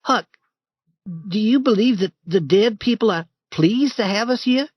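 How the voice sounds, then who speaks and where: A man putting on a frightened, high young boy. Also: lively intonation, a little slow, husky, no room sound, highs cut off, full character voice. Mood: fearful.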